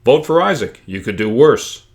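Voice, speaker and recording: A man's voice: medium pitch, big pitch swings, normal speed, pitched above the performer's own voice. A man voicing a carefree, cocky schoolboy joker in a book reading, with a breezy, teasing manner. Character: joking, carefree, cocky schoolboy class president.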